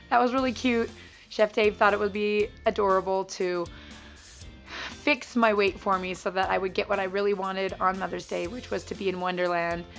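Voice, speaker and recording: A woman in her thirties talks casually to her viewers, her voice gravelly and froggy as if she has laryngitis. She is upbeat and a little sappy, quick to laugh. Faint music plays underneath. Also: mid-range voice, steady tone. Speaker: weight-loss vlogger. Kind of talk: casual chat to camera.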